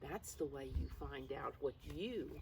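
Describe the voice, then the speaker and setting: A woman's voice: very deep voice, expressive, a little slow, a little mellow. A mature woman reading a picture book to preschoolers as their teacher, explaining it simply and encouragingly as she speaks directly to them. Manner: encouraging, addresses young children directly. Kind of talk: children's read-aloud with simple explanations. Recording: distant mic with faint background noise.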